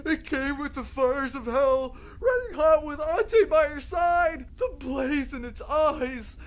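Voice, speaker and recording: A man's voice: extremely high pitch, animated, slow, exaggerated voice. A man playing a babbling, overdramatic space pirate who rants in breathless, overwrought verse. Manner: overwrought, breathless poetic ranting. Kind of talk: amateur audio drama.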